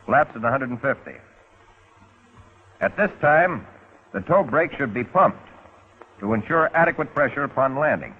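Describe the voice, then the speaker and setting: A man's voice: medium pitch, expressive, normal speed, long pauses, a little hiss or hum. Tinny 1940s American training-film narrator, male. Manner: instructional with folksy, wry asides. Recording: muffled, phone-like 1940s film soundtrack.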